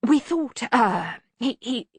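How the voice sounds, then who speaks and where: A woman as a halting, deferential young male academic. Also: a little high, expressive, slightly rough, dry recording, voice pushed up, exaggerated voice. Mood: deferential, hesitant. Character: hesitant, diplomatic, sensible male junior wizard academic. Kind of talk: acted audiobook dialogue.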